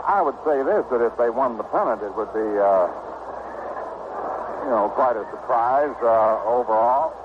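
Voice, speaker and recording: A man's voice: medium pitch, expressive, normal speed. Tinny old-radio American baseball announcer, male. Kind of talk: live play-by-play commentary with conversational asides. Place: ballpark broadcast booth. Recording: noisy 1960 AM radio broadcast, tinny band-limited.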